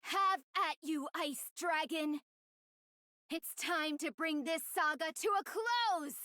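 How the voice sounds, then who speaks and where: A woman's voice: high-pitched, slow, sharp tone, no echo, studio quality, full character voice. A woman voicing a boastful young heroine in an English anime game dub, speaking in grand, dramatic declarations. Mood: bold, defiant. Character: theatrical, boastful young heroine who fancies herself a dragon slayer.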